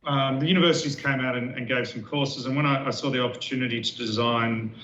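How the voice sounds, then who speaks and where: A man's voice: medium pitch, quick pace. A man, a guest architect talking casually with lots of fillers and repeats. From Western Australia. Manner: relaxed, many fillers and repeats. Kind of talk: casual interview talk. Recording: roomy, dull lo-fi sound with faint noise.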